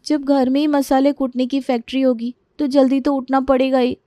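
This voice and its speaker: A woman's voice: sits high, flat delivery, moderate pace, mellow, dry recording, voice pushed up, natural voice. A woman voicing a young, clumsy, whiny daughter-in-law in a storybook tale, complaining and exclaiming dramatically. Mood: weary complaining.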